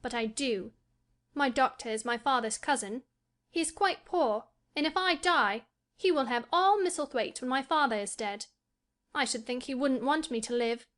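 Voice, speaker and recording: Lady doing a high little sick boy voice, bossy and fretful. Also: lively intonation, normal speed, a bit bright. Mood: imperious. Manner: demanding, cross and indifferent. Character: sickly spoiled ten-year-old boy, fretful and imperious. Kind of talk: audiobook character voice.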